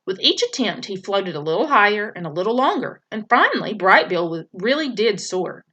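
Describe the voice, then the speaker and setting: A woman's voice: medium pitch, big pitch swings, normal speed, sharp tone, husky. A woman teacher reading a children's novel aloud, storytelling expressively with occasional slips and restarts.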